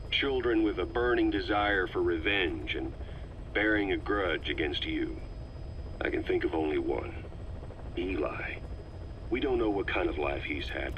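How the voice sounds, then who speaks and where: An actor playing a calm, middle-aged male agent who methodically explains a conspiracy to the listener on a cassette tape. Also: low voice, slight background noise. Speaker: intelligence briefer on a cassette tape. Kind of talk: scripted game voice acting. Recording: slightly muffled cassette tape recording.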